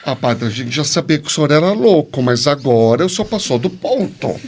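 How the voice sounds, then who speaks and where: A man's voice: a little high, expressive, a little fast, slight background noise. A Brazilian man speaking Portuguese with a Brazilian accent as a podcast guest priest, telling personal stories expressively with rhetorical questions. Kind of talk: personal storytelling in a podcast interview.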